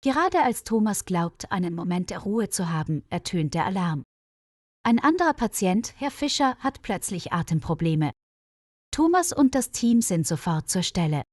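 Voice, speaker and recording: A woman narrating a scripted story for language learners in a clear, measured, evenly paced reading voice. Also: medium pitch, sing-song, darkish tone, no echo, studio quality.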